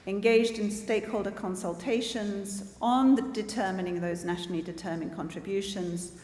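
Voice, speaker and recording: A woman's voice: mid-range voice, a little mellow. Panelist woman, earnest formal speech, hall reverb. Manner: earnest, measured, with frequent restarts. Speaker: conference panelist. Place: large conference hall.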